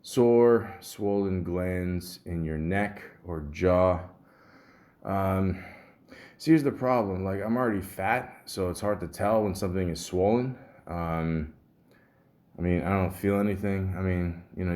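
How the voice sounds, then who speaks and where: A man with a deep, somewhat muffled voice and a sore throat, rambling casually and grumbling with some swearing as a solo podcast host. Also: unhurried, darkish tone. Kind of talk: casual solo podcast rambling, reading symptom lists aloud.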